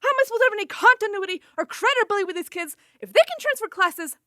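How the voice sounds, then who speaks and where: Woman playing a very high-voiced brisk art teacher. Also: expressive, sharp tone, no room sound, studio quality, full character voice. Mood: indignant. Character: brisk, indignant, whimsical female high school art teacher. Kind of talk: scripted audio comedy-drama acting.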